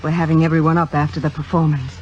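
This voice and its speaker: A woman's voice: sits low, flat delivery, fast talker, darkish tone, lightly acted. A woman playing an ambitious, self-assured young stage actress in a 1950s radio drama, with a poised theatrical delivery. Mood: elated. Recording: dull vintage radio recording with music.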